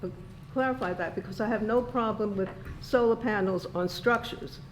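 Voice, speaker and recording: A woman speaking as a resident at a town meeting, choosing formal words in a halting way. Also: mid-range voice. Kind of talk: public comment at a meeting. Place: indoor town board meeting room. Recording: echoey meeting room with background noise.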